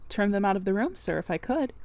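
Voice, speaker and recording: A woman's voice: medium pitch, very animated, quick pace, a little mellow, no echo. A woman voicing a devoted, demure young governess with polite, old-fashioned formal speech in a storybook. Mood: earnest, devoted.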